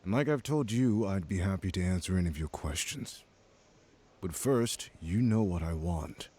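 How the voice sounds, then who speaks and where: A man's voice: sits low, lively intonation, normal speed, dark tone, slightly rough. An actor voicing a polite, controlled but menacing immortal man in a noir detective game, coaxing and suave. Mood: coolly insistent.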